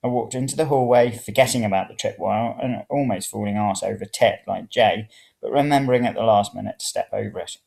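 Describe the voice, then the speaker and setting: A man's voice: on the low side, fairly quick, creaky, a little mellow, low-quality recording. A man reading a first-person story aloud. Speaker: reader of serial fiction.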